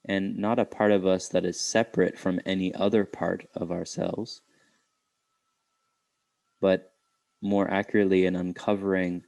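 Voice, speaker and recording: A man leading an unscripted guided meditation, speaking reflectively in halting phrases with pauses. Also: low-pitched, a little mellow, no room sound.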